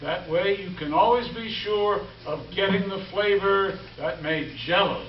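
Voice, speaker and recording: A man's voice: high-pitched, unhurried. A man playing a genial, polished announcer in 1940s radio style. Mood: upbeat selling. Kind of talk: 1940s radio announcing, scripted sponsor pitch. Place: live stage show before an audience. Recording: echoey, muffled live stage from far off.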